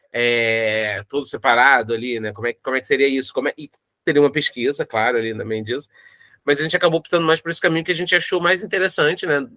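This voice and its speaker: A Brazilian man speaks in a casual interview, with a bright, forceful voice pitched a little high. He thinks aloud and circles back over his words. The sound is dry and close, with little room to it. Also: dry recording. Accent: Brazilian Portuguese. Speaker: audio drama creator discussing his project.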